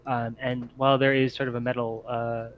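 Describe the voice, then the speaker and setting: A man's voice: mid-range voice, level tone, fairly quick, dull audio, slight background noise. Accommodating man running a tabletop game. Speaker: game master. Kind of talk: running a tabletop role-playing game, casual.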